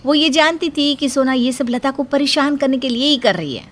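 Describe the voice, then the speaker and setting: A woman's voice: high voice, lively intonation, moderate pace. A woman reading scripted narration for a moral story as its storyteller.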